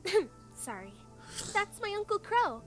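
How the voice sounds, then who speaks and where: Very high, bright, eager teenage girl played by a woman. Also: normal speed, exaggerated voice. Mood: eager, rambling. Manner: gushing, rambling, excitable. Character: eager young teenage girl huntress-in-training, bubbly and earnest. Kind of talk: anime-style cartoon fan dub. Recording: fan dub playback with music behind. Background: background music.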